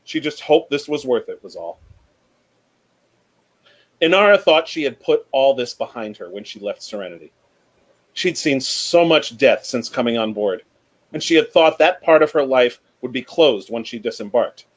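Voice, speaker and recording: A man speaking as a panelist, chatting casually and reading book excerpts aloud in a measured, storytelling way. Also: medium pitch, lively intonation. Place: remote online panel from home.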